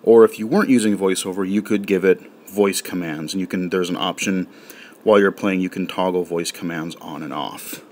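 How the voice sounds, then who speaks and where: Low-voiced man demoing an app, rambling. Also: steady tone, brisk, a little mellow, a little hiss or hum. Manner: rambling, filler-heavy. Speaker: game reviewer. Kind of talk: casual spoken app walkthrough.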